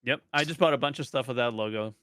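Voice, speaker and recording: A man's voice: medium pitch, fast talker, bright, forceful tone. A man in his thirties, co-hosting a casual podcast, chatting in an easygoing way. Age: 30s. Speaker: podcast co-host and streamer.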